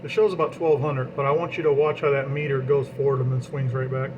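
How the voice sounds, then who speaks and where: Man demonstrating gear over clear background noise. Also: mid-range voice, flat delivery, fast talker, darkish tone, lo-fi sound. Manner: talks to himself while working, explanatory. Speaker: radio hobbyist demonstrating equipment. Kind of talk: informal hands-on demonstration. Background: fan and equipment noise.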